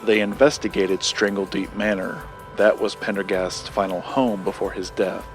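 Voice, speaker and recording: Guarded male occult researcher, played by an actor, lowish voice, formal and precise, audio drama with music underneath. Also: flat delivery, natural voice. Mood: measured, reserved. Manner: formal, precise, measured wording. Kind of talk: scripted audio drama dialogue.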